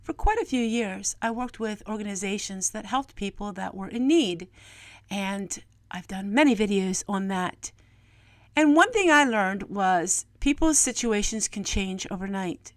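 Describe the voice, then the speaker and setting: An American woman vlogger sharing a personal, reflective monologue with viewers in an earnest, gently preachy manner, often posing rhetorical questions. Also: medium pitch, lively intonation, a little slow, no echo, studio quality.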